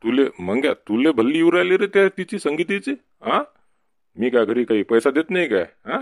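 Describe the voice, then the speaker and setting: A man's voice: fairly high pitch, big pitch swings, fairly quick, no echo, clean studio sound. A man playing a pushy, boastful drunkard uncle in a village cartoon, rambling and cajoling with a Varhadi Marathi accent. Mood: defensive. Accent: Varhadi Marathi (Vidarbha). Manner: rambling, cajoling, repetitive tag questions. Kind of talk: comic village cartoon dialogue.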